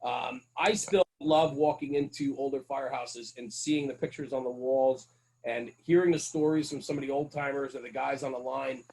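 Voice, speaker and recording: A man's voice: medium pitch, fairly even intonation, normal speed, creaky, darkish tone, poor audio. A man speaking as a podcast guest, telling stories conversationally in an earnest, emphatic way with rhetorical check-ins. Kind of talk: conversational storytelling about firehouse culture.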